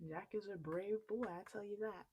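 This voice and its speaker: A woman reading a children's story aloud expressively, in an unhurried, deliberate way. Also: medium pitch, warm tone, slight background noise.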